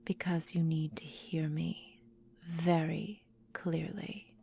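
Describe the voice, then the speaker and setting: A woman voicing a menacing, possessive vampire mistress in a whispered ASMR roleplay, calm and deliberate, breathy, close to the microphone. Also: low-pitched, full character voice. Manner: slow, breathy, deliberate, coolly domineering.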